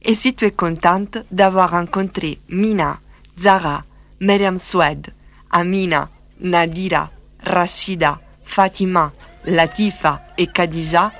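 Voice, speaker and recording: Slow calm woman narrating. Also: lowish voice. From southern Italy. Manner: calm reflective storytelling. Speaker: documentary narrator. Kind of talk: scripted narration.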